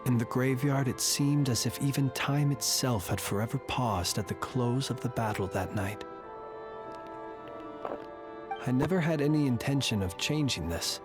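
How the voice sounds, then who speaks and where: A man voicing a composed gentleman lighthouse keeper in a video game, formal and measured with old-fashioned courtesy. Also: medium pitch, close to an everyday voice. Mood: solemn. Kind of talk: video game cutscene voice acting. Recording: music behind the dialogue.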